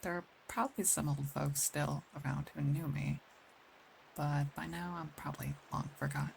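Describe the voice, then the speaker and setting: A woman plays a protective she-wolf of a werewolf, older than she looks, in an intimate ASMR roleplay. Her voice has a gravelly fry and is gentle, wistful and soothing, with unhurried pauses. A faint hiss sits behind it. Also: deep voice, steady tone, poor audio. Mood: matter-of-fact, wry. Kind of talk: ASMR roleplay, intimate scripted acting addressed to one listener.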